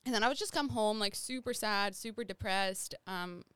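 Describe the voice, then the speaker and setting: Young woman from nepal just chatting, lots of "like", smooth voice, no room echo. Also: medium pitch, moderate pace, fairly bright tone. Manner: rambling, many "like" fillers. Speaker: interview guest. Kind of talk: casual conversational interview.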